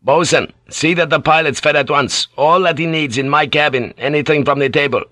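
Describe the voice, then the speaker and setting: A man's voice: a little high, brisk, bright, forceful tone, no echo, studio quality, voice pushed up. A man playing a foul-mouthed, barking ship's pilot. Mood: commanding. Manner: gruff, barking orders, swearing. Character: coarse, foul-mouthed male ship's pilot, blunt and loud.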